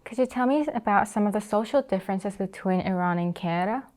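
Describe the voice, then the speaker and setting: A teenage girl interviews a friend, reading prepared questions politely and at an even pace. Her voice is warm and mellow, at a middle pitch for a woman. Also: fairly quick. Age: teens. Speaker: student interviewer.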